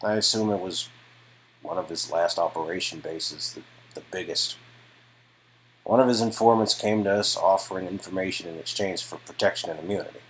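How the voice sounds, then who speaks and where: Weary male detective, acted by a man, deep raspy voice, guarded and halting, story-game dialogue. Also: a little hiss or hum, lightly acted, low-quality recording. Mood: grave, intense. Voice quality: low and raspy.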